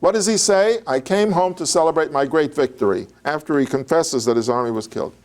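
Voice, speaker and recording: A man's voice: fairly high pitch, a little fast. An older man giving an informal, digressive lecture on biblical history, talking conversationally with fillers and restarts.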